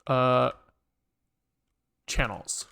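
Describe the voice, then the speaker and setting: A man casually live-coding on a stream, thinking aloud in halting, muttered bits as he works. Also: mid-range voice, creaky, bright, forceful tone, clean tone. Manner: halting, muttering to himself while working. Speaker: programming livestreamer.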